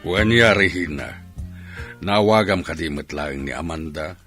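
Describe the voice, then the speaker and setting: A man playing an angry, domineering father in an Ilocano radio drama, stern and scolding with a husky voice. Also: extremely low pitch, measured pace, fairly bright tone, soft background music, heavily acted. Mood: angry, demanding. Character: angry, domineering father, scheming over his daughter's marriage. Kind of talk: Filipino radio drama acting.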